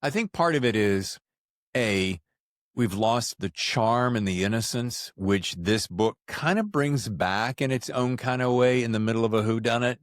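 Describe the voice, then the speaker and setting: A man hosting a casual interview, talking in an animated, rambling, jokey way. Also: medium pitch, a little slow, no room sound. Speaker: podcast host interviewing an author.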